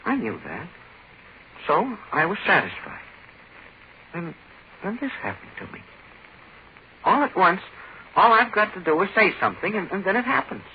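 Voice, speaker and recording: An actor playing a menacing, power-drunk ordinary little man in 1940s radio horror, speaking haltingly and insistently. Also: sits high, big pitch swings. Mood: halting, self-justifying. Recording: muffled, noisy old 1940s radio recording.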